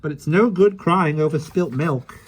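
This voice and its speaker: A man's voice: fairly high pitch, normal speed, breathy edge, pitched above the performer's own voice. A man reading a storybook aloud, voicing a cheerful, chatty little carpenter with quaint old-fashioned sayings. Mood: rueful.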